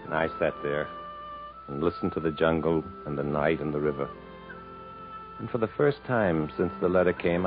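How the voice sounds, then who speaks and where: An actor playing a troubled, proud man stranded in the jungle, in an earnest, dramatic 1950s radio style. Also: rumbling low. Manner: earnest, literary, dramatic delivery. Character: weary, troubled male jungle survivor, proud and protective. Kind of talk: 1950s radio acting, dramatic narration and dialogue. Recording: muffled vintage radio recording with music.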